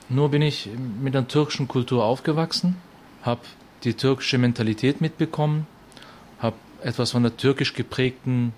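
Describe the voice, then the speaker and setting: A man's voice: mid-range voice, a little mellow, slight background noise. A man interviewed for a documentary, speaking in a reflective way and searching for his words.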